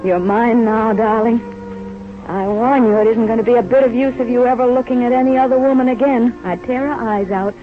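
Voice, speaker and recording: An actress playing a cheeky, devoted young nurse trainee from North Dakota in an old-time radio drama, speaking in a frank, informal way. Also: female voice, fairly high pitch, steady tone, brisk, faint music behind, natural voice. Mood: adoring, possessive. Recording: muffled vintage radio broadcast recording.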